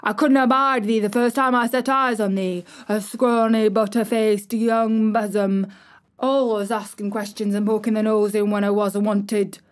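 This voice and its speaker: A woman voicing a grumpy old male gardener with a Yorkshire accent, shouting and scolding in a storybook reading. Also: mid-range voice, steady tone, measured pace, lightly acted.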